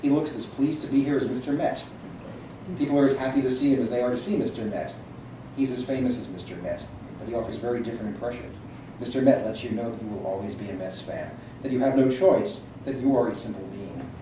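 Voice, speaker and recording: A man's voice: mid-range voice, fast talker. Man reading aloud in a very echoey room. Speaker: reader at a library book reading. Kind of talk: reading a personal essay aloud to an audience. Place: indoor room at a public library event. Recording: echoey room, distant mic, clear noise.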